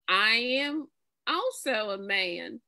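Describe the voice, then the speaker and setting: Woman playing a sharp, bright folksy pastor-baker man. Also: mid-range voice, big pitch swings, slow, full character voice. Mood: welcoming. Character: folksy, defensive male pastor running a bakery. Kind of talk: improvised comedy storytelling.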